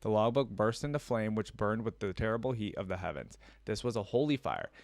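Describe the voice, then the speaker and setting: A man's voice: on the low side, expressive, brisk, no echo. A man hosting a reaction video, reading a story aloud and commenting casually in slangy asides.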